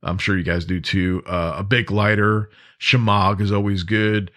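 Man with a lowish voice hosting a podcast. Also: flat delivery, normal speed, a bit bright. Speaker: podcast host. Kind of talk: conversational podcast monologue on emergency preparedness.

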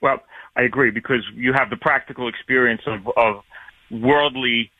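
A man with a New York accent calling into a radio show, earnest and wordy, halting with fillers. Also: medium pitch, steady tone, dry recording. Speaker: caller. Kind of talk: call-in opinion. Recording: tinny phone line.

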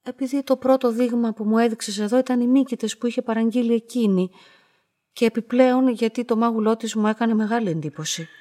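An actress playing a lonely, quietly curious young woman in a radio play, telling her story in a measured, introspective way. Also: a little high, no room sound, clean studio sound, natural voice. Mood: tentative, probing. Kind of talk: literary radio play narration and dialogue.

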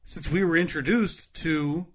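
A male podcast co-host with a fairly high, husky voice chats casually. He talks in a teasing, joking way, keeping the conversation loose and informal. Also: a little slow. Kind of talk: casual chat.

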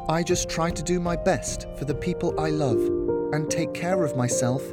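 A man reading a story, voicing a caring adult woman who talks softly and patiently. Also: a little high, normal speed, over loud music, pitched above the performer's own voice, natural voice. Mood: soft reassuring. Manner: soft, patient, comforting. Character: gentle caring adult woman, calm and reassuring.

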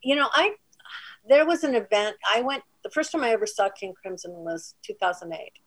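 A female singer with a soft voice, telling anecdotes casually in an interview. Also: medium pitch, lively intonation, fairly quick, husky, rough recording.

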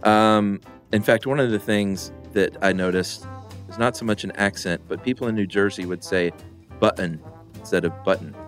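A middle-aged man co-hosting a podcast, reading listener mail in a rambling, relaxed way with lots of fillers. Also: fairly low pitch, normal speed, soft background music. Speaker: podcast co-host.

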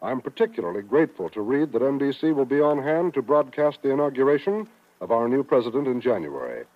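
An American man announcing for network radio in a formal, polished, emphatic 1950s style, reading from a script. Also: mid-range voice. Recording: tinny narrow-band 1950s radio recording.

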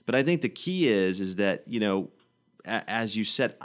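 A man's voice: lowish voice, expressive, moderate pace. A man from Tennessee, a guest physician, giving earnest interview answers with many restarts.

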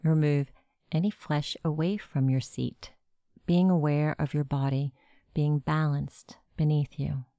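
A woman narrating a guided meditation with gentle, measured instructions. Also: low voice, steady tone, no echo, clean studio sound.

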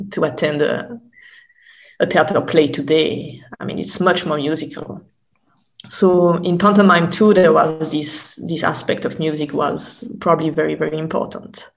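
Hesitant woman academic, gravelly creak. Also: low-pitched, low-quality recording. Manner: halting, repeats words while searching for phrasing. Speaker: academic expert guest. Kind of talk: explanatory interview conversation.

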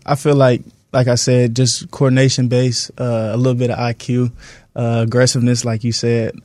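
A man in his twenties giving casual interview answers as an athlete, phrasing things haltingly. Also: medium pitch, flat delivery, darkish tone, no echo. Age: 20s. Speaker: professional basketball player being interviewed.

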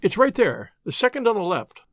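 Man portraying an earnest male secretary, deferential, anxious, apologetic, storybook mystery, high-ish animated delivery. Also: fairly quick, dry recording, studio quality, exaggerated voice. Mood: earnest confiding. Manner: apologetic, pleading, urgent.

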